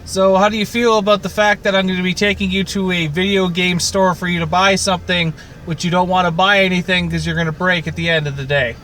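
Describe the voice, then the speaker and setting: A man's voice: high voice, steady tone, quick pace, bright, forceful tone, a little hiss or hum. A man co-hosting a podcast in casual, teasing banter, needling his friend as he talks. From Canada. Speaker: podcast co-host.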